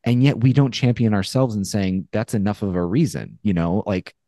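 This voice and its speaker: A man in his forties co-hosting a podcast over a video call, telling a story in a casual, animated way and acting out his own thoughts. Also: lowish voice, moderate pace, dry recording, studio quality. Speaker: podcast co-host. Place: home studio with brick wall. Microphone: headphones and studio mic on a video call.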